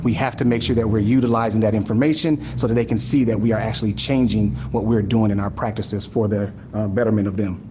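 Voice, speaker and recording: A man's voice: on the low side, steady tone, quick pace, few pauses, dark tone, slight background noise. A man giving a live talk as a school district director, earnest and emphatic, often asking the audience rhetorical questions and checking in with "right?".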